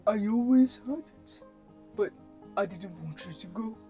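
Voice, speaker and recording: A woman's voice: medium pitch, measured pace, mellow, pitched above the performer's own voice, lightly acted. A woman voicing a lonely, earnest young hunter in love, subdued and tender in a storybook telling. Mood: pleading, sad. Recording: muffled, music under the voice.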